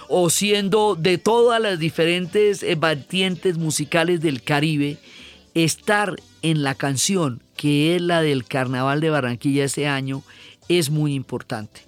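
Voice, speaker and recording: A man, explaining carnival traditions enthusiastically and repeating words for emphasis. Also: high voice. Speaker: cultural commentator.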